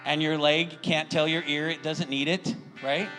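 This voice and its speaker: A man's voice: a little high, little pitch movement, unhurried, sharp tone, faint music behind, low-quality recording. A middle-aged American man speaking as a pastor, encouraging his congregation in an informal, folksy way and often checking in with them. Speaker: church pastor welcoming the congregation. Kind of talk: informal sermon and church announcements.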